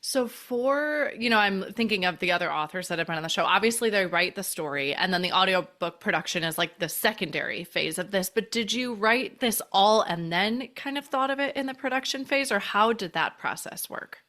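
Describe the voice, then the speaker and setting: A woman's voice: mid-range voice, expressive, a little fast, few pauses, sharp tone. A woman hosting a podcast, asking her guests questions in a casual, friendly way, laughing along and affirming. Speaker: podcast host. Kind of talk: casual interview chat.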